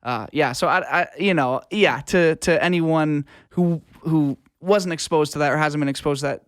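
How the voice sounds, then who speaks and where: A man's voice: fairly high pitch, normal speed, smooth, no echo, studio quality. A man speaking as an interview guest, a music producer explaining things earnestly in casual conversation and often checking that he is understood.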